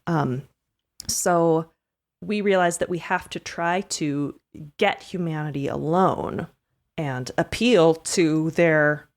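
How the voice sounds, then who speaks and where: Casual lower-pitched woman improvising a story. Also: takes it slowly. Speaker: tabletop roleplay player.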